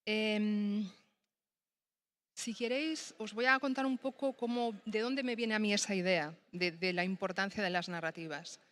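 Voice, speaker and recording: A woman whose first language is Spanish, a design teacher speaking on a summit panel in a thoughtful, halting way with many fillers. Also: medium pitch, fairly even intonation, fairly bright tone.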